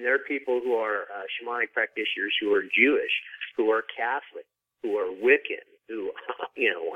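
A man's voice: lowish voice, no room sound, narrow, tinny audio. A man being interviewed as an author, explaining things casually and informally with frequent conversational asides.

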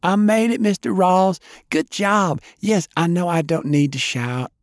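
A man voicing an excited, carefree young girl who shouts her lines in a storybook reading. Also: on the high side, normal speed, no room sound, clean studio sound, voice pushed up, lightly acted. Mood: excited shouting.

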